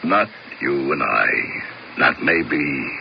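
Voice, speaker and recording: Casting: a world-weary hitman, very deep and gravelly, terse, old hissy radio tape. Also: takes it slowly, muffled recording, heavily acted. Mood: cold. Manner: flat, laconic, world-weary deadpan. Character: weary, cynical hired killer man. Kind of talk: 1970s radio drama acting.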